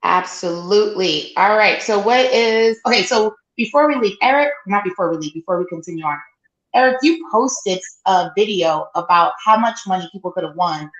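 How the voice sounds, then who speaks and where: A woman's voice: mid-range voice, normal speed, lo-fi sound. A woman co-hosting a casual sports podcast, chatting and plugging events with loose asides. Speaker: podcast co-host. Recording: roomy echoey sound.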